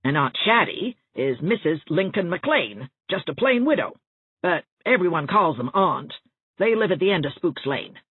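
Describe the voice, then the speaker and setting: Lady doing an older small-town gossip, folksy and rambling, husky low-ish storybook voice, thin echoey audio. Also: very animated, normal speed, narrow, tinny audio. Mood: matter-of-fact, knowing. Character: chatty, gossipy older small-town woman, well-meaning busybody. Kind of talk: audiobook character dialogue. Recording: thin band-limited audio, roomy echo.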